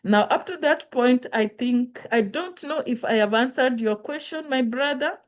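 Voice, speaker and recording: Woman lecturer, earnest and emphatic, slightly high voice, repeats for effect. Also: moderate pace, lo-fi sound. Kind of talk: motivational online lecture.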